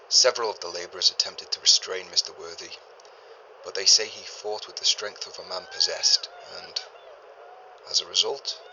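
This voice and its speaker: An actor playing a learned 1930s archaeologist, a man recording a formal, deliberate journal in grave and uneasy tones for an audio drama. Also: low-pitched, bright, forceful tone, slight background noise, heavily acted, low-quality recording. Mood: shaken, worried. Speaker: expedition leader keeping a recorded log. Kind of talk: scripted audio drama monologue, spoken journal.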